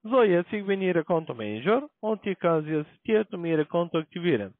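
A man's voice: high voice, expressive, a little fast, no echo. A man who is not a native German speaker, making a blunt sales call in broken grammar. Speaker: call-centre account manager. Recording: narrow tinny phone line.

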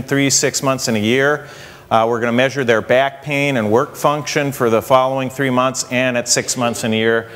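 A man's voice: medium pitch, a bit bright. A young man, an engineer, explaining a research study at a conference while walking around a hotel meeting room. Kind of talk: conference presentation. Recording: reverberant conference hall.

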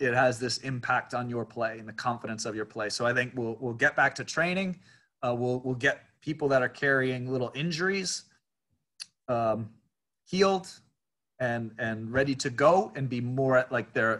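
Guy coach doing postgame presser, medium voice, kinda halting, repeats himself while thinking. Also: mid-range voice, pushed and bright. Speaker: college soccer head coach. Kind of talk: press conference answers.

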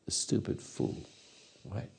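A man in his eighties giving a rambling philosophical talk to an audience on a lapel mic, halting and repeating his words. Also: a little mellow, whispered. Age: 80s. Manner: gestures with hands while talking. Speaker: speaker answering audience. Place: dark stage or studio.

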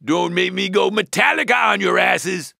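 An actor with an American accent playing a laid-back stoner metalhead school bus driver in a cartoon video game, with a raspy slacker drawl. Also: male voice, high-pitched, bright, forceful tone, no room sound, clean studio sound, exaggerated voice. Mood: rowdy, hyped. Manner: spaced-out slacker slang, drawn-out words.

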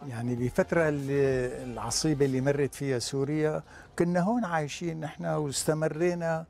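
An older man, a student's parent, answering interview questions in a brisk, plain-spoken way outdoors in a garden. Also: on the high side, steady tone, creaky, a little mellow, soft background music.

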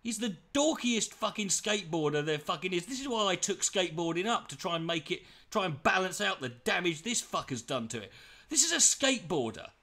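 A man's voice: high voice, brisk. A man with an Irish accent giving a profane, mocking reaction commentary from home. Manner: mocking, swearing, animated.

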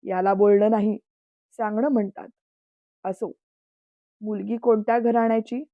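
A woman's voice: mid-range voice, fairly even intonation, takes it slowly, no room sound, natural voice. Woman voicing a stern old-fashioned uncle. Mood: curt stern. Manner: curt, commanding, scolding. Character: stern traditional older uncle, head of house. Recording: dull dry lo-fi recording.

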